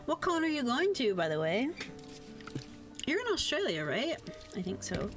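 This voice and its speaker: A woman's voice: on the high side, big pitch swings, moderate pace, fairly bright tone. Playful, sweary woman streamer over music. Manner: playful, sweary, slangy, theatrical. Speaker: livestreamer. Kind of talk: casual livestream chat with chat readouts. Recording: background music under voice.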